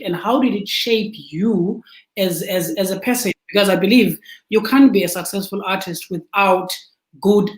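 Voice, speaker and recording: An interview host asking questions in a casual way, often stumbling and repeating words. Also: medium pitch. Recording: echoey room.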